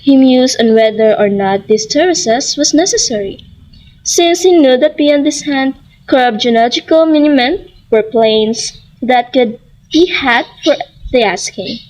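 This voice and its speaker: High-pitched young woman narrating a story. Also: unhurried, darkish tone, poor audio. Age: young adult.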